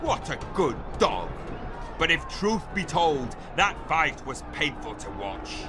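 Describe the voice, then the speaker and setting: An actor in a video game playing a mocking, gloating master of a fighting pit, with a sneering, theatrical, taunting delivery. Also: male voice, fairly high pitch, very animated, slow, over music. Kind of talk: dramatic video game voice acting.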